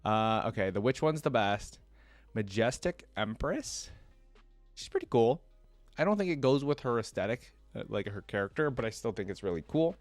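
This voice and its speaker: A man's voice: on the low side, animated, normal speed, no echo, faint music behind. A man hosting a reaction video, commenting casually and informally, thinking aloud as he browses and reads.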